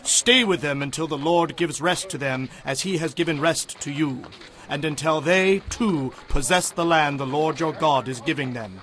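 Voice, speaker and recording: A man's voice: on the high side, moderate pace, a bit bright, natural voice. A man playing a resolute, commanding leader of ancient Israelite tribes, declaiming with authority in a dramatized audio Bible.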